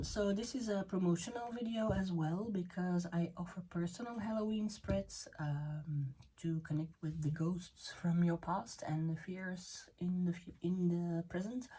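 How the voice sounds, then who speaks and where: A low, husky woman whose first language is Dutch talks slowly through a tarot reading. She pauses often and fills the gaps with "um" and "uh." Her tone is mellow and soft, and she sometimes drops nearly to a whisper. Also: steady tone, low-quality recording. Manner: halting, many fillers and restarts. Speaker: tarot reader. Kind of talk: spoken tarot reading video.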